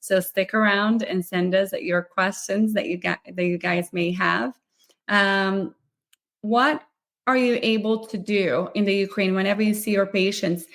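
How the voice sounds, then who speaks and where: A woman hosting a live podcast interview, upbeat and talking quickly in long runs. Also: medium pitch, fairly even intonation, fairly bright tone. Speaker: podcast host.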